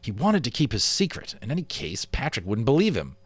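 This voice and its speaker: A man reading a children's novel aloud at home, with a very animated rise and fall in his voice. His storytelling is expressive, and at the grim parts he sinks low and soft. The sound is close and dry. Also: brisk, husky, no room sound. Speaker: amateur voice actor and audiobook reader.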